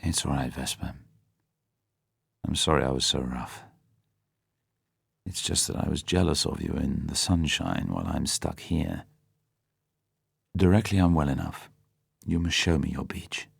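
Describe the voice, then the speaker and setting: A man doing a gruff, clipped spy. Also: a bass voice, normal speed, no room sound, studio quality, exaggerated voice. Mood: gentle. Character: hardened male spy, gruff and curt.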